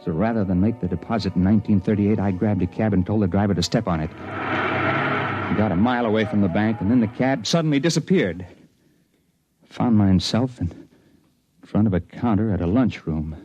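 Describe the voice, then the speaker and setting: A man's voice: lowish voice, moderate pace, slight background noise, natural voice. An actor playing a wary hired man caught up in time travel, in 1950s radio drama style. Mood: grim, tense recounting. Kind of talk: 1950s radio drama acting, first-person narration. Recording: muffled 1950s radio recording, loud music.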